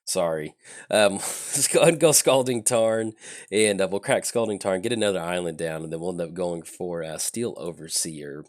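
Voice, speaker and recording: A man's voice: sits low, normal speed. A man hosting casual card game commentary, thinking aloud with lots of ums and uhs while getting over a chest cold that leaves his throat slightly scratchy. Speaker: channel host.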